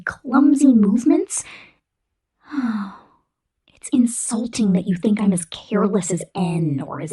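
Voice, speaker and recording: A woman voicing a vain, domineering female robot corporate boss who scorns humans, in a condescending, menacing ASMR roleplay with a whispery, deliberate delivery. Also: mid-range voice, warm tone. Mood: haughty, contemptuous. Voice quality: breathy, whispery. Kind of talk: ASMR roleplay monologue, scripted.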